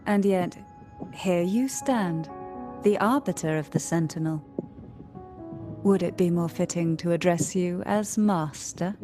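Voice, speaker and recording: Poised, low-voiced noblewoman played by a woman. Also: dark tone, faint music behind, lightly acted. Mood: solemn, courteous. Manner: formal, measured, eloquent. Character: poised, cultured noblewoman of secretive schemes, calm and knowing. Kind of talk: video game voice acting, scripted dialogue.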